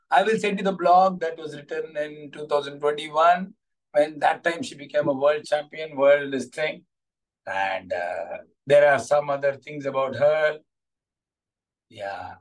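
A man in his forties with an Indian accent, a coach talking encouragingly to students in an online class. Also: fairly high pitch. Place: small curtained room at home. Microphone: video call, device at arm's length. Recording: distant microphone.

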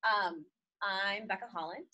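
A woman's voice: mid-range voice, a little slow, muffled recording, heavy background noise. A young woman from Minnesota, a seminary student, introducing herself in a classroom in a nervous, chatty way.

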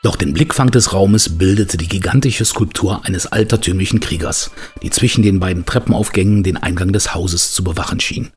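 A man acting as the storyteller of a boys' adventure audio play, reading scripted narration in a measured way. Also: deep voice, breathy edge. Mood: measured storytelling.

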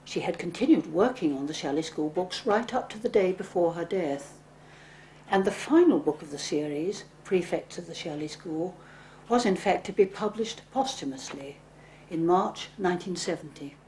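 Mellow older woman narrating. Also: fairly low pitch, normal speed, slight background noise, rough recording. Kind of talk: scripted documentary narration.

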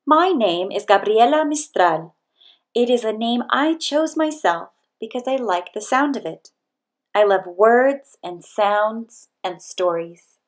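A woman reading a children's picture book aloud as scripted narration, with expressive, deliberate storytelling delivery. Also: on the high side. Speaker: storybook reader.

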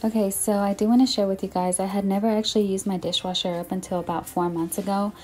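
A young woman chats to her viewers in a relaxed, friendly way as she cleans her kitchen. Her voice sits at an ordinary pitch, with soft music faintly under it. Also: fast, rough recording. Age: young adult. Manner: chatty, reassuring, apologetic about the mess. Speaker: home vlogger. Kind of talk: casual cleaning vlog narration. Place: home kitchen, indoors.

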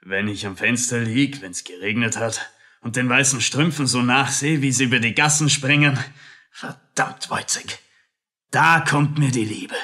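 Patronising, self-pitying army captain. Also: male voice, medium pitch, sharp tone. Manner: patronising, drawn-out repetitions. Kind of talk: radio play acting.

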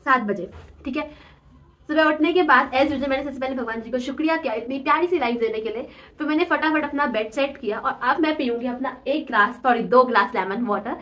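A woman's voice: sits high, sharp tone. A young woman in her twenties talking through her daily routine in a chatty, encouraging way, filmed at home by a camera in front of her. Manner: chatty, encouraging, keeps saying "theek hai". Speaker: vlogger. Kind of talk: daily routine vlog, motivational chat. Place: small room at home, sitting on bed.

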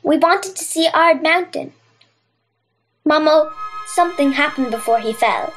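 A woman playing an earnest, warm-hearted young Irish girl in a children's audio drama. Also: sits high, a little slow, soft background music, rough recording.

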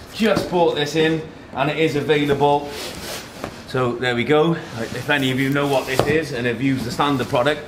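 Man presenting an unboxing in an echoey room. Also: medium pitch. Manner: talks while handling boxes and products, in short phrases. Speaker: host of a tech unboxing video. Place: indoor office meeting room. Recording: echoey room, background noise and handling clatter.

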